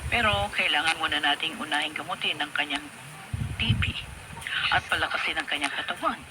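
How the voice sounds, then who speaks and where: An actor playing a grave doctor in a Filipino radio drama, measured and formal, on a noisy recording. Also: mid-range voice, close to an everyday voice. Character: grave doctor delivering news.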